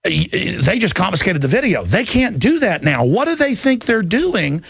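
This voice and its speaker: Very gravelly, agitated male talk radio host. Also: sits high, very animated, moderate pace, fairly bright tone, no room sound, clean studio sound. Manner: agitated, rambling, emphatic, with repeated words. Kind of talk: impassioned unscripted talk radio rant. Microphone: close studio broadcast microphone.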